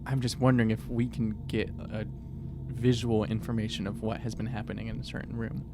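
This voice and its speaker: A player at a tabletop game talks in loose, joking conversation. The voice is medium in pitch, and the recording carries clear background noise. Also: level tone, fairly quick. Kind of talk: casual, joking table chat. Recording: noisy room recording.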